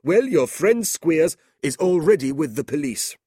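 A man's voice: big pitch swings, measured pace, warm tone, husky, no room sound, studio quality, heavily acted. A man doing an earnest old merchant. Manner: earnest and raised in pitch. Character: kindly elderly merchant, earnest and firm.

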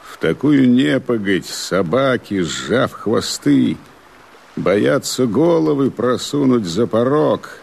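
An actor playing a brooding, wary rebel sentry in a radio verse drama, with a raspy voice and slow, declamatory delivery. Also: male voice, mid-range voice, lively intonation, a little mellow, full character voice. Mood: gloomy, lyrical.

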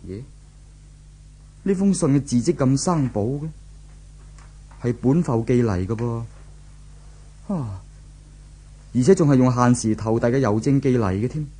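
An actor playing a brooding, distraught young office worker in a 1970s Cantonese radio drama, with a husky voice and a Hong Kong accent. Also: male voice, medium pitch, animated, a little slow, long pauses, mellow. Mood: puzzled. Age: young adult.

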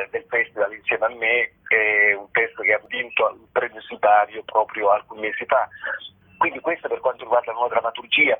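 A man being interviewed as a festival curator, explaining a programme off the cuff in a halting, wordy way over a telephone line. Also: mid-range voice, husky. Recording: muffled narrowband telephone line on radio.

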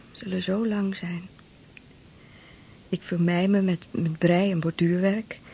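A very breathy, lowish-voiced wife of a seafarer in a historical radio drama. She sounds plaintive, tender and resigned, her words hushed. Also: full character voice. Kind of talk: historical radio play acting.

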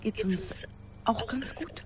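A woman's voice: fairly high pitch, slow pace, background noise. An actress in a radio drama playing a tender, hesitant woman in a long-distance romance, intimate and questioning.

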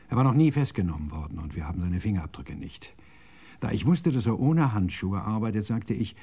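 An actor playing a self-assured police sergeant in a radio crime drama, recounting a case in measured, orderly fashion. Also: male voice, on the low side, warm tone, breathy edge. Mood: pleased, explanatory.

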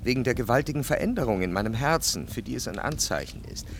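An actor playing a jealous, sorrowful lover in a radio drama, solemn, with deliberate phrasing and heavy pauses. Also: male voice, medium pitch, animated, a little hiss or hum, lightly acted.

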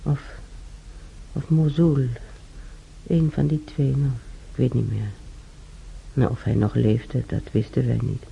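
Very creaky, weary old-time rememberer. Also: male voice, mid-range voice, little pitch movement, lo-fi sound, heavily acted. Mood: sombre, bitter. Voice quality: gravelly. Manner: halting, sighing, muttering, often near whisper. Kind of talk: intimate radio drama monologue.

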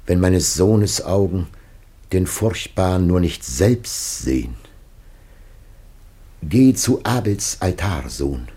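A man acting an ancient, dying patriarch in a solemn radio drama, grave, anguished and loving, with declamatory, drawn-out phrasing. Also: low-pitched, animated, warm tone, husky, heavily acted. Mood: solemn, impassioned.

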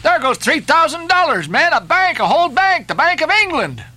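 A man puts on a frantic whaling mate in a storybook tale, his pitch far up and his tone sharp. He begs greedily, repeating himself in excited cries. The sound is very dry. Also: moderate pace, dry recording, heavily acted. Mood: frantic pleading. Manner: breathlessly begging, exclamatory, repetitive. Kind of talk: storybook character voice in a novel reading.

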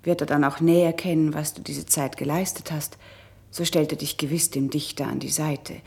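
An actress playing a gentle, wistful noble princess in soft, measured classical verse for radio drama. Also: female voice, low voice, steady tone, heavily acted. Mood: gently reassuring. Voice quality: breathy, husky with some creak. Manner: soft, measured, elevated delivery.

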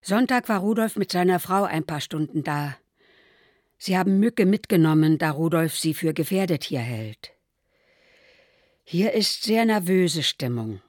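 A woman playing an anxious elderly mother, reading diary entries in a quiet, measured way. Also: lowish voice, animated, no echo, studio quality. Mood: worried.

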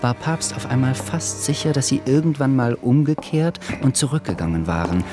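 A man playing the measured narrator of an uneasy literary radio drama, reading scripted prose. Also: medium pitch, music in the background, lightly acted.

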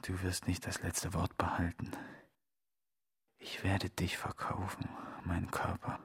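An actor playing a bitter man who whispers menacingly and flatly in a radio drama. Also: deep voice, slow, creaky, breathy edge, full character voice. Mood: bitter whispering. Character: bitter man renouncing and selling his own body.

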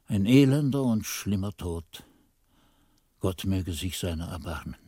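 Solemn monk in a radio play, dark mellow lowish voice, dry close sound, formal and measured. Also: male voice, lively intonation, no echo. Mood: grave, solemn. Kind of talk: German radio drama acting, formal solemn account.

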